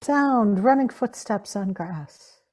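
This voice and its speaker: Very slow woman reading stage directions. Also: mid-range voice, animated, a little mellow. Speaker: sound-effects reader. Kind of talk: terse read-out stage directions. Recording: noisy, distant online-call audio.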